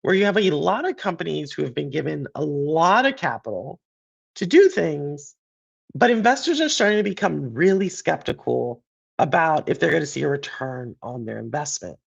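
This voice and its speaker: A man with a sore throat talks through gaming news in a loose, rambling way, full of fillers and restarts. His voice is hoarse and gravelly, and his pitch rises and falls a lot. The recording sounds a bit dull and lo-fi. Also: sing-song, normal speed. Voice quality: deeper than usual. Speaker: podcast host and streamer. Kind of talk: casual gaming and business commentary.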